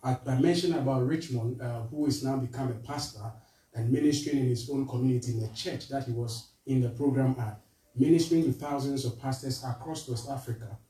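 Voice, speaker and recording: A man from Ghana with a Ghanaian accent, giving an earnest charity appeal to a church, halting with fillers and restarts. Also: medium pitch, warm tone. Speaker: charity representative speaking to a church. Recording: roomy echo, voice from far off.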